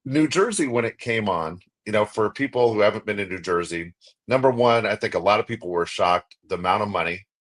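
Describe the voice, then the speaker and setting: An American man speaks as a co-host in a relaxed interview setting. His pitch is on the low side. He talks in long, rambling runs and restarts his thoughts often. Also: fairly quick. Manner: rambling, wordy questioning with restarts. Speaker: podcast co-host. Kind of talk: casual interview talk.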